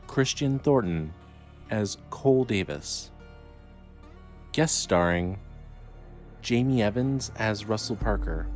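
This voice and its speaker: A man narrating a scripted audio drama as its storyteller, reading in a measured way. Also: on the low side. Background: music.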